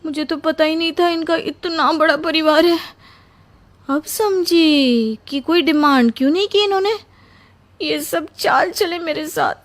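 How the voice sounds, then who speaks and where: A woman's voice: high-pitched, a little slow. A woman voicing a young, carefree bride who exclaims dramatically and is easily overwhelmed, in a Hindi cartoon story. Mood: shocked. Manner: exclaiming, melodramatic.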